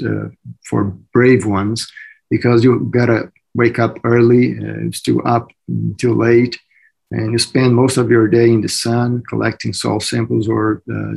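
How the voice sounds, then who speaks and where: Gravelly Brazilian man, halting speech. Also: medium pitch. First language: Portuguese. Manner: halting, many "uh" fillers and restarts. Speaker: agronomist guest. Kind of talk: interview answers.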